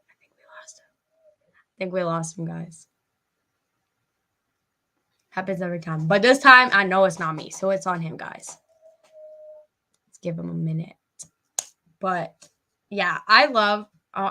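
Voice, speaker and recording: A woman's voice: fairly low pitch, a little slow, long pauses, bright, forceful tone. A woman hosting a casual live-stream interview, chatting informally with her guest and audience. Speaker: podcast host and interviewer.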